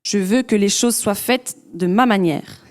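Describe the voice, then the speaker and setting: A woman interpreting a sermon live, sentence by sentence, in a relaxed way with frequent "euh" fillers. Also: medium pitch, measured pace.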